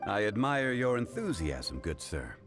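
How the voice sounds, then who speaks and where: A man voicing a calm, learned and modest gentleman who speaks formally and courteously. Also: lowish voice, a little slow. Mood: composed. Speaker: funeral parlor consultant. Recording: game dialogue over music.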